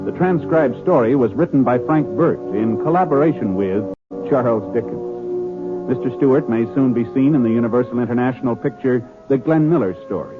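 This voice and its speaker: Male announcer, mid pitch, measured, formal, vintage radio, tinny, loud music behind. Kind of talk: 1950s radio announcing, scripted. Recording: old tinny radio broadcast over music.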